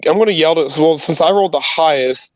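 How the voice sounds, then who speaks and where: A man's voice: fairly high pitch, fast talker, no echo. A man playing in a tabletop game, casually asking rule questions and declaring his character's actions. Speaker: player.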